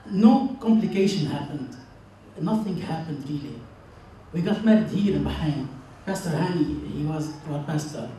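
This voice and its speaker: A man in his thirties with a Lebanese accent, a native Arabic speaker, gives an earnest, halting personal speech to a congregation. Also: fairly high pitch, warm tone. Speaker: church member giving a testimony. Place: large indoor church hall. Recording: very echoey church, distant mic, faint noise.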